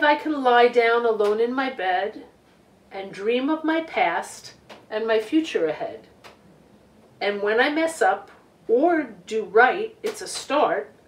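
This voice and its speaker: A middle-aged woman reading a picture book aloud to children in an expressive, deliberate way with emphasized words. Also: a little high, slight background noise. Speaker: children's storytime reader. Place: living room couch, indoors. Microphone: camera a few feet away. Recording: roomy home recording, distant microphone.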